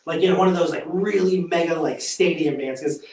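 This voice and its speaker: A man, a drummer being interviewed, chatting casually in an excitable, halting, slangy, rambling way. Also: on the high side. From United States. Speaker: band drummer, interview guest. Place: echoey room. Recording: echoey room, distant from mic.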